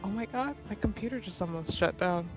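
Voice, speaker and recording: A woman's voice: mid-range voice, animated, a little fast, faint music behind. A woman playing a tabletop roleplaying game, chatting casually and improvising as she goes.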